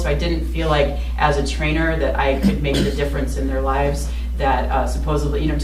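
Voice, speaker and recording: A woman's voice: low-pitched, darkish tone. A woman giving a casual personal account in an interview as a former trainer. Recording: echoey room, distant mic, faint hum.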